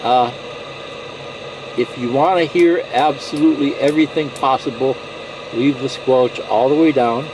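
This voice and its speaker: A man's voice: medium pitch, unhurried. A man giving a casual, unscripted how-to demonstration in a folksy, reassuring way, often checking in with small tags like "okay". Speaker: presenter of a CB radio how-to video. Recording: clearly noisy, with static and hum. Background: CB radio static hiss.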